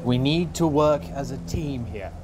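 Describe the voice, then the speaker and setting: A man acting in an audio drama as a level-headed polar expedition member, measured and serious. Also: medium pitch, noisy background, close to an everyday voice. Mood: calm, steady.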